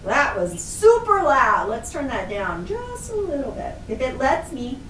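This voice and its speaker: A woman's voice: high voice, huge pitch swings, unhurried, a bit bright, soft background music. A woman instructor giving an explanatory lesson for children, listing examples one word at a time. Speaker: library class instructor. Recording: echoey room, distant mic, faint noise.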